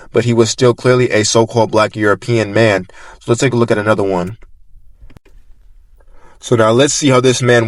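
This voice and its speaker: A man's voice: lowish voice, dry recording, studio quality. A man narrating in an informal explanatory style, reading records aloud and often repeating and rephrasing himself as he goes. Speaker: narrator presenting archive records.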